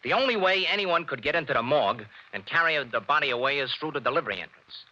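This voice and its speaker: Cocky wisecracking detective. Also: male voice, on the high side, animated, quick pace, sharp tone, full character voice. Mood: brisk. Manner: cocky, needling. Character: wisecracking tough male detective. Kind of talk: 1940s radio acting. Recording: lo-fi 1940s radio recording.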